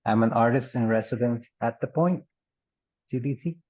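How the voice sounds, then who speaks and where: A middle-aged man from the Dominican Republic and Puerto Rico, an artist in residence, telling his life story in a relaxed, rambling way with many fillers and laughs. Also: mid-range voice, normal speed, no room sound, highs cut off. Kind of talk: oral history interview.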